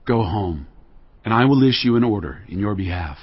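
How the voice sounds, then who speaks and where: An actor playing an authoritative ancient ruler, speaking formally and deliberately in a dramatized audio Bible. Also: male voice, fairly low pitch, lively intonation, breathy edge, lo-fi sound. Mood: solemn, assuring.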